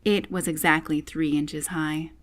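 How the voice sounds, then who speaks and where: A woman narrates a children's audiobook. Her voice sits a little low, and she delivers the scripted prose as a storyteller. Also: little pitch movement, unhurried.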